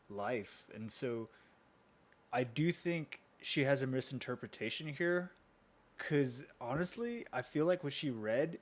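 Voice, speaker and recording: An American man chatting casually as a co-host, loose and informal, with many filler words. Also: mid-range voice. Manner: informal, hesitant phrasing peppered with "like". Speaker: podcast co-host. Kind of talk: casual chat.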